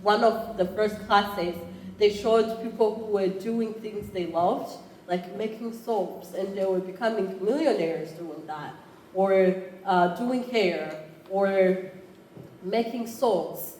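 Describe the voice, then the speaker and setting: A woman's voice: mid-range voice, slow, darkish tone, slight background noise. A woman in her thirties from Rwanda with a Rwandan accent, giving a conversational conference talk with frequent fillers and restarts. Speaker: entrepreneurship program chair. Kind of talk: conference presentation. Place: conference room. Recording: roomy, faint background ambience.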